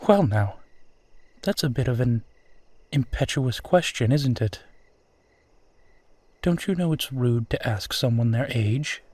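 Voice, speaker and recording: A man playing a suave, centuries-old vampire in a roleplay audio drama, speaking formally, with old-fashioned courtesy and gentle teasing. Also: medium pitch, animated, slow pace, long pauses, no room sound. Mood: mildly chiding. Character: suave, courtly centuries-old male vampire who looks twenty-eight.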